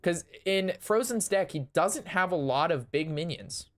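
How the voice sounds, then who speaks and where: A young man casting and reviewing a card game match, excitable and analytical, his voice strained from talking a long time. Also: high voice, measured pace. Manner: excitable, analytical, talks through plays. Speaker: Hearthstone caster reviewing a match. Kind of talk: casual game commentary.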